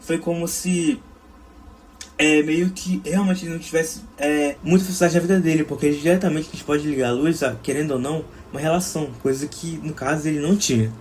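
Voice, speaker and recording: Young brazilian guy doing a student slide talk, kinda high voice, stop-and-start delivery, some background noise. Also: fairly even intonation, a little mellow. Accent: Brazilian Portuguese. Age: young adult. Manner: halting, with frequent hesitations and restarts. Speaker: student film director presenting a project. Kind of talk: academic slide presentation.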